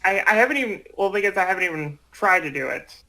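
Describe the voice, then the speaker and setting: A man in casual chat who speaks haltingly, hesitating and repeating himself as he goes. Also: sits high, expressive, bright, forceful tone, low-quality recording. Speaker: amateur voice project creator.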